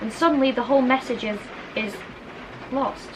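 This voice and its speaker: A woman reading a storybook, voicing a bookish eleven-year-old girl who is prim, earnest and quick to correct. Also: on the high side, noisy background, voice pushed up, natural voice. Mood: disapproving, earnest. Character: bookish, disapproving eleven-year-old schoolgirl twin sister. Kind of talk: children's storybook character reading.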